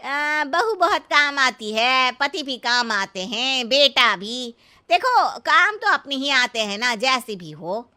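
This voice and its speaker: An elderly village mother-in-law for a cartoon story, very sharp and high. She is cheeky and stubborn, drawing out her folksy scolding with big swoops in pitch. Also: big pitch swings, slow, exaggerated voice. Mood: cheeky, chatty. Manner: literal-minded, scolding, folksy. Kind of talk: exaggerated comic cartoon story acting.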